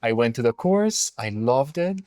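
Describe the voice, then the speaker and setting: A man's voice: medium pitch, sing-song, normal speed, mellow, no room sound. Colombian man telling his story, dry sound. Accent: Colombian Spanish. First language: Spanish. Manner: reflective storytelling with many fillers. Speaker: school director, interview guest. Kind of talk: conversational interview.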